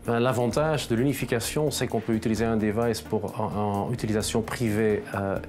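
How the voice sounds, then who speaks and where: A man's voice: fairly low pitch, fairly even intonation, fairly quick, darkish tone. A man explaining a product in a matter-of-fact way, as a specialist in a corporate testimonial. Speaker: telecom solution specialist. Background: background music.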